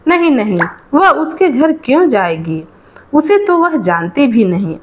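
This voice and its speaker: An uneasy village wife , voiced by a woman storyteller, speaks with hesitant doubt. Her storybook lines are slow and fairly high in pitch. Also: big pitch swings, muffled recording, a little hiss or hum. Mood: doubtful. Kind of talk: storybook dialogue.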